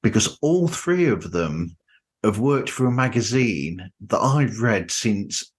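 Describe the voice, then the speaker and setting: British man with a lowish voice. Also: lively intonation, slow pace, husky. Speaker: podcast host. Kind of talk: casual chat.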